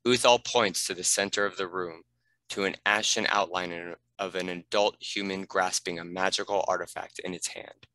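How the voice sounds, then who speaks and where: A man's voice: low voice, steady tone, moderate pace, bright, forceful tone, no echo, poor audio. A man reading stage directions as narrator, in a scripted narration style.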